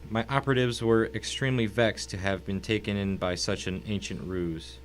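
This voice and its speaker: A man acting a wealthy, cultured villain in a story drama, formal and mock-courteous, calmly threatening. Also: fairly low pitch, moderate pace, slight background noise, close to an everyday voice. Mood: courteously menacing. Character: calm, wealthy, menacing husband, cultured villain. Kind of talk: dramatic audio reading of a short story.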